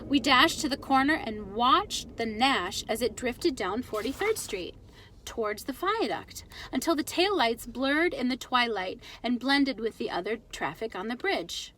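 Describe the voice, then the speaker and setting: A woman reading a children's story aloud in an animated storytelling style. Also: fairly high pitch, unhurried, a little hiss or hum. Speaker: children's book reader.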